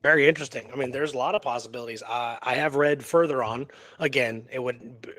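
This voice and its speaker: A man's voice: medium pitch, a little fast, fairly bright tone, dry recording. A man hosting a radio show, retelling a historical case in casual, slangy talk with emphatic repeated phrases.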